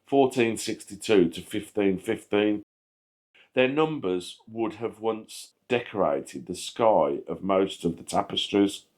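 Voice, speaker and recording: A man narrating scripted history as a channel host, reading aloud. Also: lowish voice.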